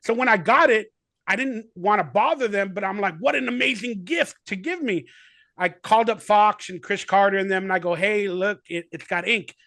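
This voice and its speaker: A man's voice: sits high, normal speed, pushed and bright, dry recording, studio quality. A man in his forties, a guest actor on a video call, talking in a rambling, enthusiastic way full of fillers. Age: 40s. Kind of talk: casual remote interview.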